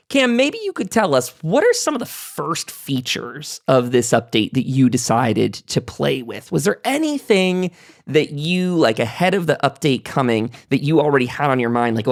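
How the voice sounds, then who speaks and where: Man, livestream host, lively varied intonation, informal, talkative, chatty delivery. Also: a little high. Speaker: host of a gaming livestream round table.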